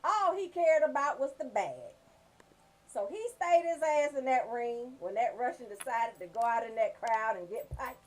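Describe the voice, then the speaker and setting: A woman hosting a radio show, giving casual, comedic shout-outs in a sassy, joking, profane way. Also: fairly high pitch, lively intonation, moderate pace.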